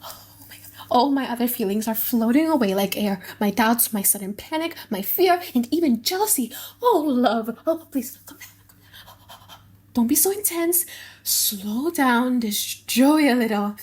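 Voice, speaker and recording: A woman voicing a lovestruck, overwhelmed young noblewoman in a dramatic audiobook, breathy and gushing in short bursts. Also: a little high, animated, a little slow, a little mellow. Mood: overwhelmed joy. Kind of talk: dramatic audiobook reading in modern English.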